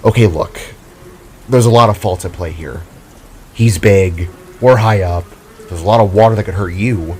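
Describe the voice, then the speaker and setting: Flustered, babbling male hero for an audio drama, man acting it out; low-leaning pitch. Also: normal speed, darkish tone, slight background noise, natural voice. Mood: nervous. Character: anxious self-composed spark hero, a blaster-armed blue boy.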